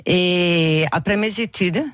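A woman in her sixties from Flemish Belgium, speaking French with a Flemish accent as a second language, chatting as a radio guest and searching for words with many fillers and pauses. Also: lowish voice, dry recording, studio quality. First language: Dutch. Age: 60s. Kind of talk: radio interview chat.

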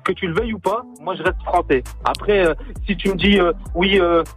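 A man's voice: high voice, a little fast, soft background music. A man calling in to a radio show, indignant and insistent as he argues his point. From France. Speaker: caller.

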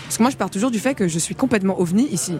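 Fast-talking woman from the Congo. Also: mid-range voice, expressive, a little mellow, slight background noise. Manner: candid, laughs often, trails off with fillers. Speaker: guest singer. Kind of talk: radio interview.

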